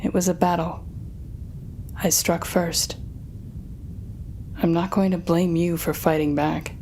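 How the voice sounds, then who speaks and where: A woman acts out a weary female supervillain in an audio drama. She speaks slowly and directly to one listener, bitter but fair, with a wry, dramatic edge. There is a faint rumbling noise behind her. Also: level tone, a little mellow. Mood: weary, sardonic. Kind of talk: audio roleplay acting.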